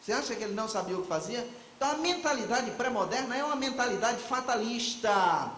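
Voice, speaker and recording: A man's voice: very high-pitched, lively intonation, fast talker, a bit bright, reverberant, a little hiss or hum. A man giving a theological lecture at a conference, reflective and posing questions to the audience before answering them himself. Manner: reflective, asks and answers his own questions. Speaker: conference speaker.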